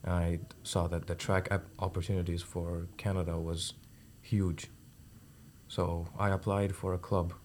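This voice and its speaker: A man's voice: low voice, flat delivery, measured pace, darkish tone, a little hiss or hum. A young man from India and Zambia, chatting casually as a student guest on a podcast. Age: teens or young adult. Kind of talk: casual interview chat.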